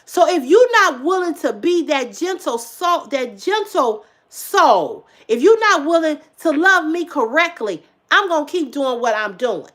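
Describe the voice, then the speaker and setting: A woman in her fifties talks straight to her livestream viewers in a casual, emphatic way. Her voice sits high, and she repeats phrases for punch and swears without holding back. She swings from loud outbursts to quiet asides. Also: expressive, measured pace, few pauses. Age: 50s. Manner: emphatic, repetitive, swears freely; swings between loud exclamations and low asides. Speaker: livestream host.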